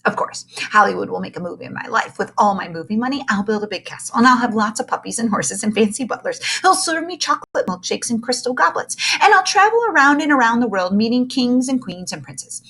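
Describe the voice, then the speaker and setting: Storybook schoolgirl, boastful and dreamy, very animated delivery, performed by a woman. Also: a little high, quick pace, hardly any pauses, pitched above the performer's own voice. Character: smug, boastful fourth-grade girl, dreamy and self-important.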